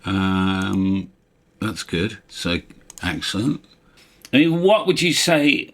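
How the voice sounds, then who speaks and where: Male host for a relaxed software review; distinctly low voice, blunt and informal delivery. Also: slow pace. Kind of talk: casual chat, first-look review.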